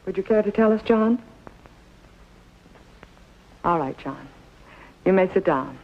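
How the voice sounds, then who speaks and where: A muffled old TV soundtrack carries a woman schoolteacher. She is formal and courteous, addressing pupils in measured sentences in the manner of fifties drama. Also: mid-range voice, animated. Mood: formal, patient. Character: polite, formal schoolteacher addressing her class. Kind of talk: 1950s American television drama acting. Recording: dull lo-fi 1950s television soundtrack.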